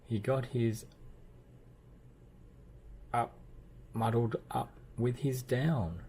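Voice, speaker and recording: A man reading a children's storybook aloud as narrator, with expressive delivery and playful emphasis. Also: mid-range voice, extremely slow, slight background noise. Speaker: children's book reader.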